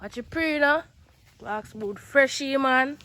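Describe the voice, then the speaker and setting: A woman's voice: sits high, slow, pushed and bright. Chatty Jamaican teen girl vlogger. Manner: chatty, rambling, drifts into patois. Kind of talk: casual vlog chat to camera.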